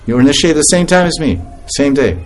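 A man's voice: on the high side, fast talker, soft background music. A man giving an informal spiritual talk to a group, laughing often as he tells stories. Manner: laughing often, animated storytelling.

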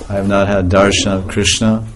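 A man's voice: on the low side, normal speed, a little hiss or hum. A man giving an informal spiritual talk to a group, laughing often as he tells stories. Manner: laughing often, animated storytelling.

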